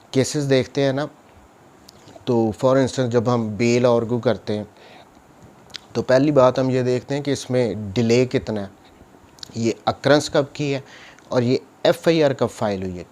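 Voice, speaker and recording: Mellow-voiced Pakistani man giving a lecture. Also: mid-range voice. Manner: didactic, asks questions and answers them himself; mixes English legal terms into speech. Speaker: law lecturer, advocate. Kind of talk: explanatory lecture, reading statute aloud and explaining.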